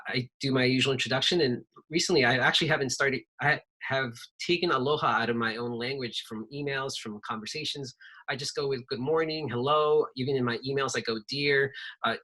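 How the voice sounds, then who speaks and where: A man's voice: mid-range voice, bright, forceful tone, low-quality recording. A man whose first language is Spanish, speaking conversationally with many fillers as a panelist in an online workshop over a video call.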